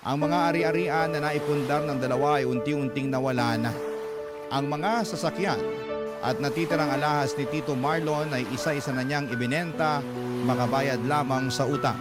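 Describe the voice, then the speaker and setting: A sorrowful young Filipino man voiced by an actor narrates a letter in a medium-pitched voice. He is earnest and measured, with loud music running behind his scripted radio drama narration. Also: lightly acted.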